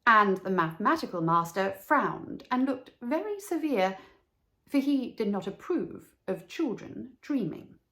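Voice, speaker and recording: A woman narrating a children's story from a script, with measured, deliberate phrasing. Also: a little high, very animated, bright, forceful tone. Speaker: storybook reader.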